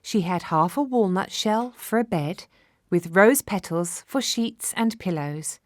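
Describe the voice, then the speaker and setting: A woman narrating a children's fairy tale from a script, measured and expressive. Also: medium pitch, no echo, clean studio sound. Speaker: storyteller.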